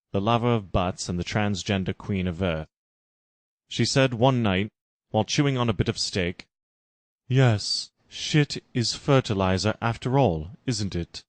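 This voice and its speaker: A man's voice: deep voice, no room sound. A man giving a scripted narration of a parody story. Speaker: reader.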